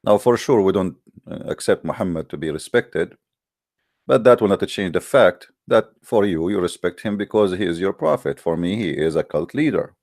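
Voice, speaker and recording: A man's voice: low-pitched, expressive, normal speed, a little mellow, dry recording, clean studio sound. A man, an English speaker, hosting a live call-in debate show in a hesitant but challenging way, with many fillers. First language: Arabic.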